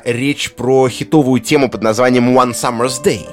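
A man giving an explanatory talk on film music, articulate, with deliberate pauses and emphatic phrasing. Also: medium pitch, steady tone. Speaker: music lecturer, presenter. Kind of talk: explanatory video essay narration on film music.

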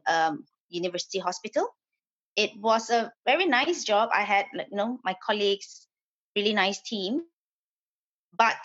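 A woman's voice: medium pitch, fairly bright tone, muffled recording. Hesitant woman guest from Malaysia. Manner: hesitant, with frequent fillers and restarts. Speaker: property developer. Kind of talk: podcast interview guest.

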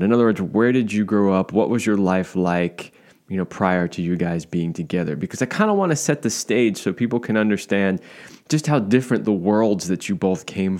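Lower-voiced man co-hosting a podcast. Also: darkish tone. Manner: enthusiastic, rambling with restarts. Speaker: podcast co-host. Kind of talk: casual podcast interviewing.

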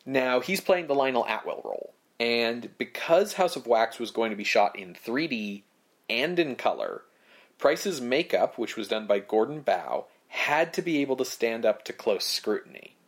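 A man co-hosting a casual film history podcast, reading prepared facts in a relaxed way. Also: mid-range voice, normal speed. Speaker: podcast co-host.

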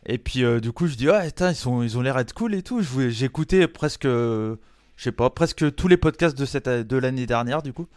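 A male podcast guest from Brittany speaks casually with an accent from France. His pitch is average for a man, and the recording is dry and free of room sound. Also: expressive, a little fast, darkish tone, no room sound. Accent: French (France). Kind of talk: casual chat.

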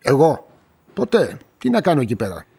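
A defensive, curt shop owner: very animated intonation, husky tone, radio crime drama. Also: male voice, mid-range voice, full character voice. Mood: defensive, irritated. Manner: curt, dismissive, colloquial. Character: busy, brusque, defensive male auto-parts shop owner.

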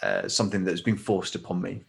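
A man's voice: deep voice, big pitch swings, brisk, gravelly, slightly rough. An English man in his thirties, a podcast guest talking casually and thoughtfully, with many fillers and restarts. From England. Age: 30s. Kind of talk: casual conversation.

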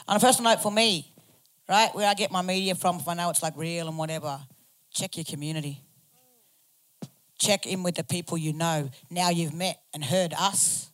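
Aussie panel speaker, loud and blunt, swears, lots of slang. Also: mid-range voice, moderate pace, low-quality recording. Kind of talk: speaking at a community forum.

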